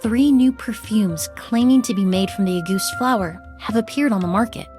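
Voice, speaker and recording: A woman's voice: mid-range voice, moderate pace, close to an everyday voice. A woman playing a refined, composed young perfumer in a video game, explaining things politely. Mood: explaining calmly. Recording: music playing behind the voice.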